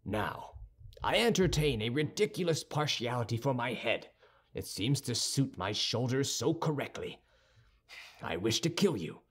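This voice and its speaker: A man voicing a haughty, sardonic young musketeer in an audiobook, formal, measured and condescending. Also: medium pitch, big pitch swings, breathy edge, heavily acted. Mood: sardonic, composed. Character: cool, haughty young musketeer with churchly airs, dryly sardonic. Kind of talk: audiobook character dialogue.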